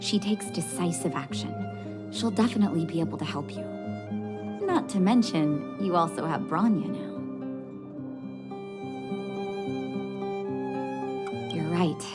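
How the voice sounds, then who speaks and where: An actress plays a composed young woman who leads an underground resistance in a video game. Her voice sits on the low side, measured, gracious and earnest. Music plays clearly behind her. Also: close to an everyday voice. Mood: reassuring, caring. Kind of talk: video game cutscene dialogue.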